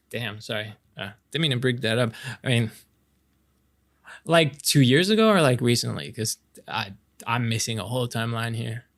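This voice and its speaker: Male actor as boyish best-friend love interest for romantic ASMR roleplay; French Canadian accent, medium pitch, casual, playful, halting delivery. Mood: awkward, apologetic. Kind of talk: intimate romantic ASMR roleplay, improvised-sounding casual chat.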